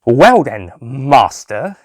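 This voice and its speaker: A man's voice: medium pitch, sing-song, extremely slow, dry recording, clean studio sound, heavily acted. A man voicing an earnest, bemused male police inspector in an audio story. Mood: stern.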